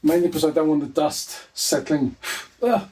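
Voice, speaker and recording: A man's voice: on the high side, normal speed, music in the background. A man hosting a hands-on repair tutorial, talking casually and with dry humour as he works indoors at a desk. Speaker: host of a repair video. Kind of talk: casual hands-on tutorial commentary while working. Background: clicks and handling noise of tools.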